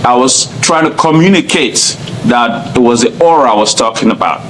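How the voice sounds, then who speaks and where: A man's voice: medium pitch, expressive, moderate pace, fairly bright tone, a little hiss or hum, poor audio. A man from Cameroon with a Cameroonian accent, English not his first language, answering interview questions earnestly and point by point. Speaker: guest.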